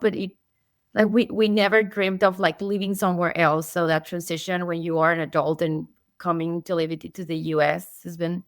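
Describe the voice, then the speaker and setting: A woman's voice: medium pitch, creaky, no echo, clean studio sound. A woman in her thirties from Mexico with a Mexican accent, chatting as a podcast guest in a friendly way, often restarting her sentences. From Monterrey, Mexico. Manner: friendly, with frequent restarts and light laughs. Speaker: guest psychologist and sleep coach. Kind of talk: casual interview chat.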